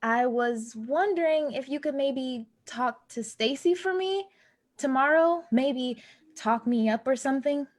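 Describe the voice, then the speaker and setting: An actress playing an anxious, plainspoken young building employee in a naturalistic play reading. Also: female voice, high voice, measured pace. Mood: pleading, insistent. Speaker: actor in a staged play reading. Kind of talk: naturalistic stage dialogue reading.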